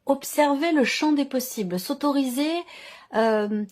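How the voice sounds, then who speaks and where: A woman's voice: high-pitched, a little slow, a little mellow. A woman giving an unscripted tarot reading, often searching for her words and repeating herself. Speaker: tarot reader.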